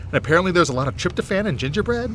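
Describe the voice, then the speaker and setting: An actor playing a friendly, apologetic fellow traveller in an audio drama. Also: male voice, sits high, sing-song, rapid-fire. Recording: faint outdoor noise.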